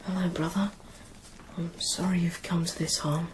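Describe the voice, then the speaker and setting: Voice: medium pitch, flat delivery, moderate pace, noisy background, lightly acted. A young performer with a British accent acting in a radio drama as a proud, polite noble boy, earnest and well-spoken. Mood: hushed, worried.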